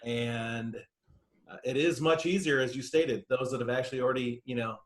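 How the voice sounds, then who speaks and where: Man with mid-pitched voice, agreeable and hesitant. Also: fairly even intonation, normal speed. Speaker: participant commenting. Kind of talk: informal discussion on a group video call. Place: online video call.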